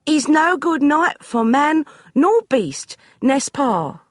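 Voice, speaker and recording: A man's voice: very high-pitched, very animated, slow, a bit bright, dry recording, clean studio sound, voice pushed up, full character voice. A man voicing a sturdy maid who puts on an excruciatingly bad French accent. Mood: theatrical. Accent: fake, bad French accent.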